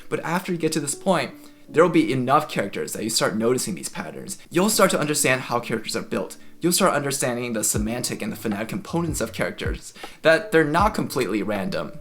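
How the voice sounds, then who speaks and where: A man's voice: medium pitch, big pitch swings, quick pace, a bit bright. A young man from the United States, whose was Chinese, giving a friendly, conversational scripted explanation for a language-learning video.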